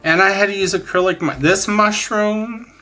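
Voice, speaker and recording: Bright-voiced man in his sixties, chatting candidly. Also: high voice, normal speed, soft background music. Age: 60s. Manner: rambling, candid, emphatic about likes and dislikes. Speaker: craft channel host. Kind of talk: casual chat showing finished coloring pages.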